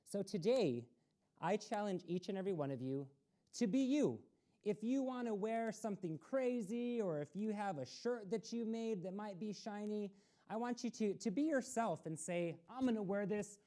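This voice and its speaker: A woman's voice: medium pitch, expressive, moderate pace, warm tone. A woman reading a picture book aloud to children on a community TV storytime and encouraging them. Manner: encouraging, talks straight to children. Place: community access TV studio.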